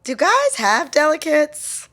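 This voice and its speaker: A woman's voice: high voice, sing-song, slow pace, fairly bright tone, full character voice. A woman playing a clueless, blunt character in her early twenties in a podcast sitcom, with a naive way of talking. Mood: puzzled. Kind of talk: sitcom acting.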